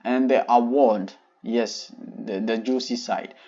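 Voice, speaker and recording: A man's voice: medium pitch, fairly even intonation, slow, dark tone, muffled recording. A young man from Ghana hosting his own channel, earnestly walking viewers through funding details step by step in a polite, explanatory way. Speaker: channel host. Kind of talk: scholarship explainer vlog.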